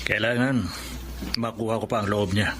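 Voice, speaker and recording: An actor playing a persistent, coaxing middle-aged suitor in a Filipino radio drama, gently insistent. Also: male voice, fairly low pitch, steady tone, normal speed, a little hiss or hum, lightly acted. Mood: determined.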